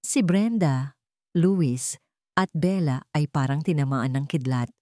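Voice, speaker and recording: A woman narrating a scripted family drama in a measured, suspenseful way, phrase by phrase. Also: deep voice, a little mellow, no room sound. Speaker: story narrator.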